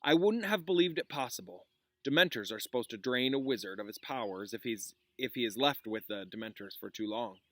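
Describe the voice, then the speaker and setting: Grave young wizard professor read by a man, kindly but solemn storybook character, measured delivery, dry close sound. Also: medium pitch, expressive, a bit bright, no echo, natural voice. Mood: measured, cautious.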